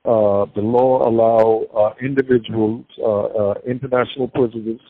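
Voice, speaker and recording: An older man, a prisoner giving an interview, speaking haltingly with many fillers and repeats and a devout tone. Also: mid-range voice, fairly even intonation. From Bahamas. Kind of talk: casual interview talk. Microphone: prison phone call. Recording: tinny phone line.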